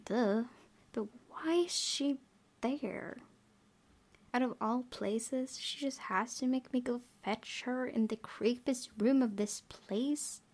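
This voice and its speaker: A woman's voice: a little high, takes it slowly, a little mellow. A woman doing a let's play, reading visual novel text aloud expressively with spontaneous, giggly asides. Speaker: gaming video host. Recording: noticeable background noise and ambience.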